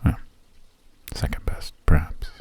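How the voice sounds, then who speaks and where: A man voicing a reclusive, wealthy elf who talks in a genteel, teasing and softly menacing way, in an ASMR roleplay. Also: takes it slowly, dry recording, close to an everyday voice. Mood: playful. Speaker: voice actor.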